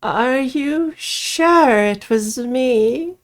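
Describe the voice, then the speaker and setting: A woman voicing a mysterious witch from a visual novel, feigning sweet innocence in a slow, drawn-out, coy and sinister manner. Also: high-pitched, lively intonation, voice pushed up, full character voice. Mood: feigned innocence. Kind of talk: visual novel character read-aloud.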